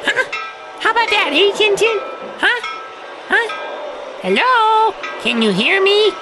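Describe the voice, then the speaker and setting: A man voicing a cheeky, excitable little talking dog with a whiny, exclaiming manner in an audio drama. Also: very high-pitched, slow, music in the background, voice pushed up, heavily acted, low-quality recording. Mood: playful.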